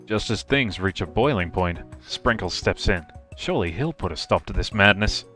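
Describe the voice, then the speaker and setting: A man's voice: deep voice, expressive, normal speed, pushed and bright, soft background music. A man reading game narration aloud on a livestream in a playful, theatrical storytelling manner.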